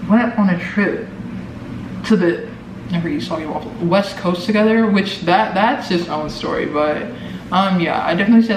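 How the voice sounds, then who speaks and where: An eighteen-year-old man with an American accent, chatting casually and ramblingly to camera with lots of filler words. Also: on the high side. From Omaha, Nebraska, United States. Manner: informal, rambling, heavy use of "like". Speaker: vlogger. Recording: echoey noisy room, distant mic.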